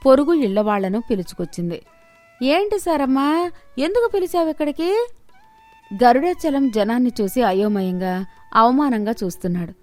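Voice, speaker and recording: A woman's voice: medium pitch, a little mellow. A woman delivering scripted narration of a moral story, with music behind her.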